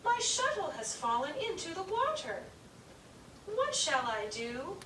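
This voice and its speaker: A woman voicing a tiny, fretful old male fairy with a long gray beard, speaking in a high, anxious voice. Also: very animated, a little slow, sharp tone, roomy sound, far from the mic, a little hiss or hum, exaggerated voice, rough recording.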